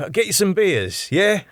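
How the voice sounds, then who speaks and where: A flustered young venue manager hedges and stalls with nervous uhs, as a man reads him. The voice is a touch higher than the reader's own. The sound is close and dry. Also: very animated, slow, no echo, full character voice. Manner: hesitant, full of uhs.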